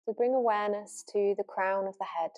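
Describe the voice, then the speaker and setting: Soothing, even-toned woman guiding relaxation. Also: medium pitch. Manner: gentle, unhurried, soothing, with long pauses. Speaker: yoga nidra teacher. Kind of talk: guided relaxation meditation. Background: new-age music.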